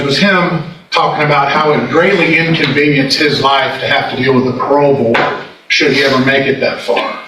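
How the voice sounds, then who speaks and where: A man's voice: mid-range voice, bright, forceful tone. A man arguing as a prosecutor in court, speaking in an emphatic, condemning manner. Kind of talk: courtroom closing argument. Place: indoor courtroom. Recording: echoey courtroom, distant mic, background noise.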